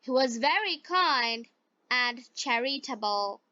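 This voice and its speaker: Female storyteller for beginner learners: very slow, deliberate phrasing, bright high voice, slightly dull lo-fi recording. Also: expressive, no echo. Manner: deliberate, short separated phrases. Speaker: narrator of stories for English learners.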